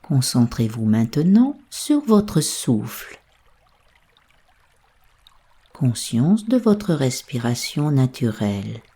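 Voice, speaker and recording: A woman guides a bedtime relaxation with a low, mellow voice. She speaks very slowly and softly, with breath in the tone. Her delivery is hushed and soothing, leaving space between instructions. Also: sing-song, breathy edge. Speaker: guided meditation teacher. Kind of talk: slow guided yoga nidra sleep meditation.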